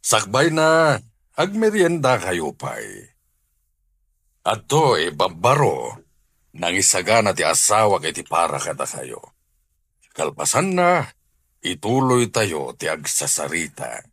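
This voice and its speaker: Generous rich employer, Ilocano radio drama: deep, slow, authoritative. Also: male voice, wildly swooping pitch, fairly bright tone, husky, dry recording, clean studio sound, exaggerated voice. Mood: welcoming. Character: generous, welcoming wealthy householder hiring servants.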